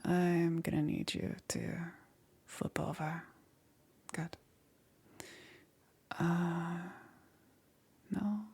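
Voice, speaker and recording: A woman playing a soft-spoken, secretive witch in an intimate ASMR roleplay, soothing and hesitant, often dropping into a whisper. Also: fairly low pitch, steady tone, very slow, long pauses, full character voice. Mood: careful, attentive. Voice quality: breathy, husky, often whispering.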